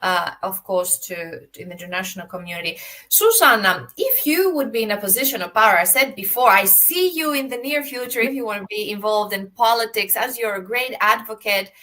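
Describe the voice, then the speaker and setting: Bright-voiced Greek-born woman host. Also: medium pitch, animated, a little fast. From Greece. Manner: passionate, talks in long run-on stretches with repeated starts. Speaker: podcast host. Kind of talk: casual interview chat. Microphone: video call.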